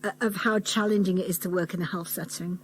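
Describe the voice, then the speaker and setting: A woman's voice: on the low side, steady tone, fast, a little hiss or hum. A woman hosting a conversational radio interview.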